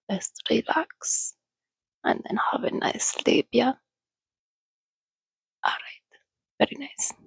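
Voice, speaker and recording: A woman voicing a soothing, centuries-old, well-mannered vampire in a soft-spoken ASMR roleplay, chatting gently and often dropping to a whisper. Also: a little high, vocal fry, husky, no room sound, exaggerated voice, rough recording. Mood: soothing, sleepy. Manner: unhurried, gentle, chatty, reassuring.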